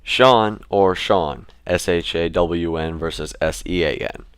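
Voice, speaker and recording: A young man talking casually to his camera in a relaxed, rambling way, with fillers and restarts. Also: sits low, slow pace, clean tone, no echo, clean studio sound. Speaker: vlogger.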